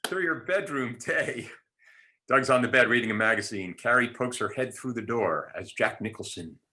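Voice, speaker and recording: A man's voice: medium pitch, moderate pace, sharp tone. A man reading out stage directions as scripted narration for a comedy table read.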